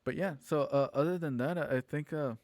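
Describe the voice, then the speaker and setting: A man hosting an online show, chatting casually and haltingly on a livestream about plans for it. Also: a little high, a little mellow, dry recording. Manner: halting, informal. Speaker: host and organizer of an online radio drama show. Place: at home on a multistream video call.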